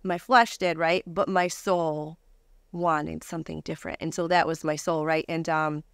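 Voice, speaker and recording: Low-pitched woman, casual testimony. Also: flat delivery, moderate pace, vocal fry, smooth, no echo, studio quality. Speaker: interview guest telling a personal testimony.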